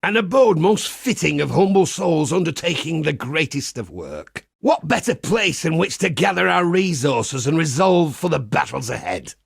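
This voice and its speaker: A man voicing a pious, wry crusader priest in a video game, with a husky, gravelly voice and a measured, folksy preacher's manner. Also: a little high, big pitch swings, no room sound, studio quality, exaggerated voice. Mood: warm, approving. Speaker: game character. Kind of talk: scripted game character monologue.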